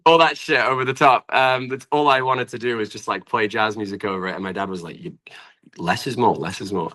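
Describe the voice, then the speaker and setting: A young man with a British accent and a low-pitched voice that still sounds bright and forward. He talks casually as an interview guest, wandering through his sentences in an informal way. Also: a little fast. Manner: rambling, informal, frequent "like". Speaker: guest singer-songwriter. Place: at home in an apartment.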